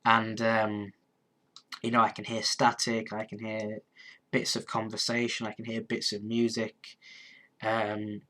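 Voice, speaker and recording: A man, chatting casually on a live stream and answering comments. His nose is blocked and sniffly, and he rambles, pausing on ums and restarting his sentences. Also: on the low side, completely flat delivery, pushed and bright. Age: 20s. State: congested, sniffly.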